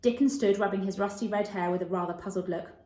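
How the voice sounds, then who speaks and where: A woman's voice: medium pitch, fairly even intonation, quick pace, mellow. A woman narrating a children's novel as a storybook reader, in scripted read-aloud style. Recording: echoey room.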